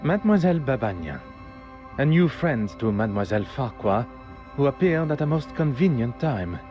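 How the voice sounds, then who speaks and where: An actor playing a shrewd, courteous, self-assured detective with a Belgian French accent, speaking formally and precisely in a game. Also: male voice, medium pitch, sing-song, a little fast, dull audio, soft background music, close to an everyday voice. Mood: brooding, deliberate. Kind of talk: scripted game dialogue and narration.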